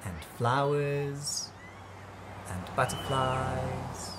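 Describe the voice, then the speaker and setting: A storyteller reading a picture book aloud to young children with playful, deliberate, drawn-out phrasing. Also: mid-range voice, background noise. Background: music and sound effects.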